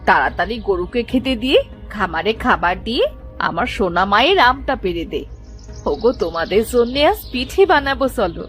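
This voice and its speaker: Cartoon villain aunt woman actor: sharp-tongued, highish, lively swings, faint music behind. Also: fairly quick, close to an everyday voice. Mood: bossy. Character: cruel, scolding village aunt, doting on her daughter. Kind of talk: melodramatic cartoon voice acting.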